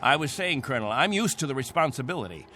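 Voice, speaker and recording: Radio drama captain: middle-aged, proud leader of stranded colonists, bright forceful voice, animated pitch, music underneath. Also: male voice, fast. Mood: guarded, insistent.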